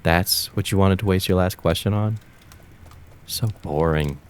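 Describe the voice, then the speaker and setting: A man voicing a cruel, taunting kitsune fox spirit of the woods in an ASMR roleplay, with drawn-out, mocking, menacing words. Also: deep voice, slight background noise. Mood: mocking, scornful.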